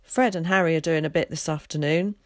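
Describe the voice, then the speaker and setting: A woman's voice: low-pitched, a little fast, dry recording, natural voice. A friendly village mother from a storybook, voiced by a woman reader. She chats busily in rustic dialect, and the recording is close and dry.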